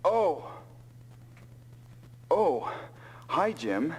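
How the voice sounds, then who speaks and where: A man's voice: a little high, very animated, drawn-out words, husky, exaggerated voice, low-quality recording. An actor playing a big, easygoing male soldier pilot in an anime-style English video game dub.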